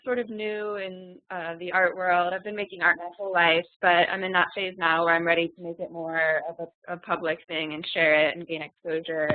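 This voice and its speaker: A woman's voice: fairly low pitch, dry recording. Hesitant woman on a tinny line. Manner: hesitant, halting with ums. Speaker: caller asking a question. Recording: tinny video call line.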